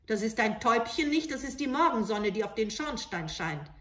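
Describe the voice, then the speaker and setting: A woman's voice: a little high, sing-song, moderate pace, a bit bright, recorded from a distance. A woman voicing a harsh, impatient stepmother in a fairy tale, curt and scolding. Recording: roomy home recording.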